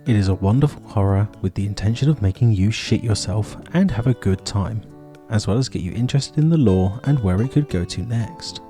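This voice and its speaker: A man's voice: lowish voice, lively intonation, brisk, over loud music. A man hosting and narrating a scripted video essay.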